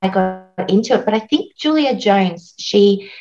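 A woman's voice: medium pitch, lively intonation, a little slow, muffled recording, faint music behind. An Australian woman in her thirties, a guest on a podcast, telling her story in a chatty way with lots of "like" and "um". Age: 30s. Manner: warm, chatty, frequent "like" and "um". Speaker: guest, postpartum doula. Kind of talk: casual interview storytelling.

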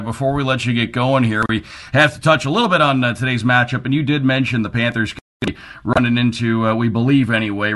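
A man's voice: medium pitch, a bit bright. A man hosting a sports radio show, talking conversationally as he puts questions to a guest.

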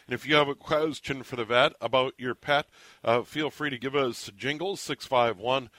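A man's voice: medium pitch, normal speed, sharp tone, no echo. A man hosting a radio call-in show, asking questions with frequent stammered restarts. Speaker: radio talk show host. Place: radio studio. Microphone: studio microphone.

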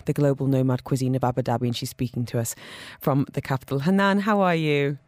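A woman's voice: a bass voice, fairly even intonation, quick pace, darkish tone, no room sound, studio quality. A woman hosting an interview in a chatty, inquisitive, conversational way. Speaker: interviewer, host.

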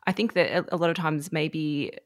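A woman's voice: sits low, steady tone, normal speed, no echo, studio quality. A woman hosting a podcast interview, listening with frequent murmured agreement and asking open questions. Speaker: podcast host.

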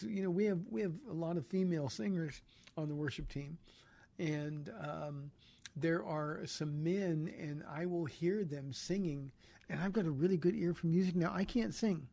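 A pastor hosting a call-in radio program, speaking earnestly and encouragingly to his callers. His voice sits fairly high for a man, with a mellow, relaxed tone. He often stumbles and restarts as he thinks aloud. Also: creaky, dry recording. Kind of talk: call-in radio Bible talk.

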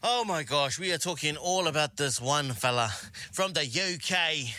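A man's voice: a little high, lively intonation, moderate pace, bright, forceful tone, dry recording. A man co-hosting a radio show, bantering playfully and teasing his co-host. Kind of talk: casual banter.

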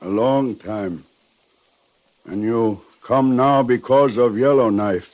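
An actor playing a proud, solemn chief in a 1950s radio western, speaking in terse broken phrases. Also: male voice, medium pitch, takes it slowly, close to an everyday voice. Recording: dull, band-limited old radio recording.

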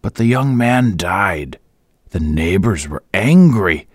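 A man voicing a deaf, sly old woman landlady who speaks evasively in a high, wavering voice. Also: animated, slow pace, no echo, clean studio sound, heavily acted.

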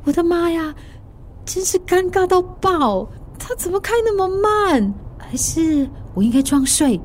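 A woman's voice: very high voice, lively intonation, unhurried. A woman acting in a radio drama as a flustered, defensive young woman with a secret crush. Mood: awkward.

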